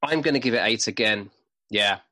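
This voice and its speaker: A man's voice: on the low side, normal speed, sharp tone, dry recording. A man co-hosting a film review podcast, chatting casually and rambling with restarts. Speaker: podcast co-host.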